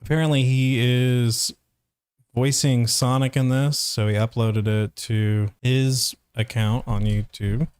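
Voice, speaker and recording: A man commentates casually, rambling and pausing as he thinks aloud. His voice is smooth and clear at an ordinary male pitch, captured close and dry on the microphone. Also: fairly even intonation, darkish tone, no room sound. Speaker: voice actor reviewing a fandub.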